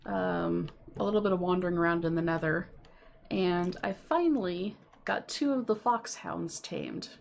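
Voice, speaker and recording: A woman's voice: on the low side, normal speed, gravelly, highs cut off, slight background noise. A woman with a cold, sounding sniffly and nasal, chatting casually and apologetically through a game stream from home. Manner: chatty, apologetic, with frequent ums. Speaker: Minecraft streamer. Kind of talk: casual gameplay stream chat. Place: home, with keyboard and computer sounds.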